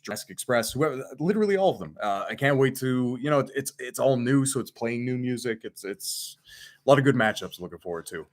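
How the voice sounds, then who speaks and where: Relaxed man reading fan questions. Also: mid-range voice, fairly quick. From French Canada. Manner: relaxed, reads questions aloud with stumbles. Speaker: wrestler and co-host. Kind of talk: casual chat answering fan comments.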